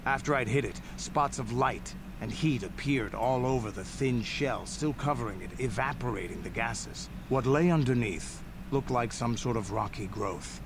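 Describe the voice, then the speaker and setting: An actor playing a proud, gruff veteran alien warrior in a video game, telling war stories in a gravelly, deliberate, boastful manner. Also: male voice, mid-range voice, natural voice. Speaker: game character. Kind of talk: dramatic scripted video game dialogue. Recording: game audio under steady noise.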